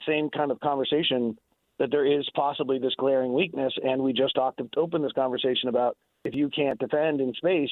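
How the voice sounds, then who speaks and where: A man's voice: mid-range voice, dry recording. A man giving unscripted sports analysis as a radio guest, emphatic and often restarting his phrases. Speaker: sports analyst and radio play-by-play announcer interviewed on a show. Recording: tinny, dry phone-like radio line.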